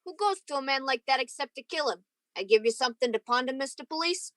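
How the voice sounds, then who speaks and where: A woman acts out a sardonic, defiant young man being grilled in a radio crime play. The voice sits fairly high and sounds very dry. Every line carries bitter sarcasm. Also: animated, quick pace, a bit bright, dry recording. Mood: bitter defiance. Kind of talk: old-time radio crime drama acting.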